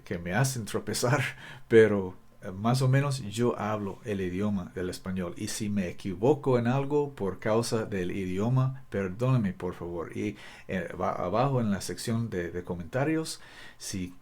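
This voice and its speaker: A man whose first language is English leads a Bible study in careful, halting speech, often restarting his sentences. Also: fairly low pitch, darkish tone. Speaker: Bible teacher. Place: Costa Rica.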